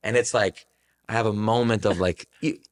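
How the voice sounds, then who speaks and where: A man's voice: lowish voice, steady tone, normal speed. A man in his twenties talking casually and reflectively as a podcast guest, earnest and rambling, with plenty of filler words. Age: 20s.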